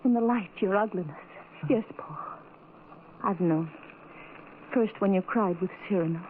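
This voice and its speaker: Woman acting a slow, tender heroine of radio melodrama. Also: mid-range voice, natural voice. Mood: devoted, earnest. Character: tender, devoted blind woman, pleading with her beloved. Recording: muffled, noisy vintage radio recording.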